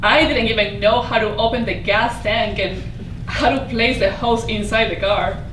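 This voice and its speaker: An actress plays a proud hotel housekeeper, a woman with a prickly, wry way of talking in a staged reading. Her voice sits on the high side. It is caught from a distance in a very echoey room. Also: fairly even intonation, normal speed, close to an everyday voice. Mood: candid, explaining. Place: indoor staged reading before an audience. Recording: roomy, distant mic, noisy live stage.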